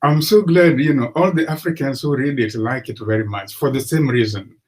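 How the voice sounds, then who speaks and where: An older man from Tanzania, a professor guest on a video call, speaking in a measured, agreeable way. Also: mid-range voice, darkish tone. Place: study with bookshelves.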